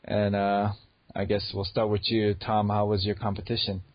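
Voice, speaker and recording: A man's voice: on the low side, little pitch movement, moderate pace, no echo. Man, twenties, podcast co-host, casual teasing chat, dry lo-fi recording. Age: 20s.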